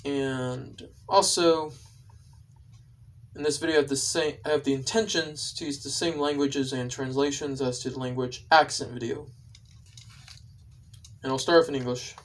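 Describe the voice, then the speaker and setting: A man casually explaining his video to viewers, with halting, repetitive phrasing. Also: mid-range voice, fairly even intonation, distant mic, a little hiss or hum. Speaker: video creator.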